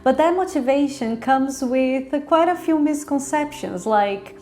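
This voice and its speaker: A woman reviewer delivering semi-scripted commentary in an articulate, explanatory way with measured phrasing. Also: high-pitched, lively intonation, darkish tone, faint music behind. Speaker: drama reviewer hosting own review show.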